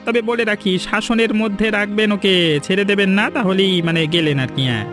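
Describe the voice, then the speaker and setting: A meddling cartoon shopkeeper, acted out by a man, with a very high male voice. He wheedles and insinuates at a fast clip, with music behind him. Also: lively intonation, fairly bright tone. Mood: sly, insinuating. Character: meddling gossipy village shopkeeper stirring family trouble. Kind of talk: animated cartoon story dialogue.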